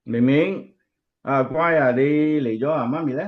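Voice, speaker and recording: Radio drama role: man playing devout Cantonese grandpa, gentle preaching manner, mid pitch, lo-fi sound. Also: a little slow, close to an everyday voice. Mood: warm welcome.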